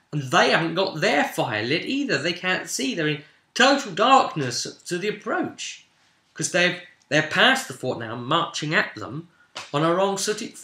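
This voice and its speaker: A man speaking as a game master, informally recapping a fantasy campaign with animated storytelling and casual asides. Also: mid-range voice. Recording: echoey room sound.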